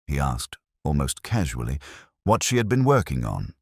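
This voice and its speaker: A man's voice: extremely low pitch, very animated, moderate pace, darkish tone, husky, no room sound, studio quality. A man narrating a scripted detective story as its storyteller.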